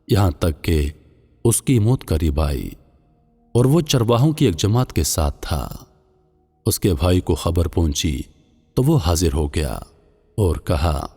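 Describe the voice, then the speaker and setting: A man narrating a scripted religious moral tale as a storyteller, in a measured, solemn manner. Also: low-pitched, dark tone, breathy edge. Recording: long hall-like reverb.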